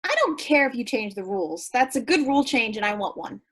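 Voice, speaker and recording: A woman's voice: on the high side, animated, a little fast, a bit bright. A woman acting a blunt, impulsive drinker in a staged play reading, with a theatrical manner. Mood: feigned interest.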